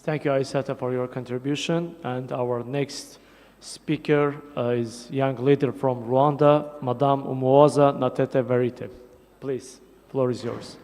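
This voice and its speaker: Give me a man moderating a conference panel, introducing speakers slowly and formally. His voice is mellow and dark. A long hall reverb hangs behind him. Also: medium pitch, fairly even intonation. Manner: slow, formal introductions with small slips.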